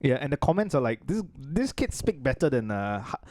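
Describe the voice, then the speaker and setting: A man's voice: medium pitch, very animated, fairly quick, darkish tone, dry recording. A man co-hosting a podcast, chiming in with short agreements. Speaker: podcast co-host. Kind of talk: casual chat.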